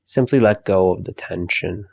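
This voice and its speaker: A man leading a guided meditation for focus, giving soft, calm body-scan instructions with long pauses. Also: low voice, vocal fry, no echo.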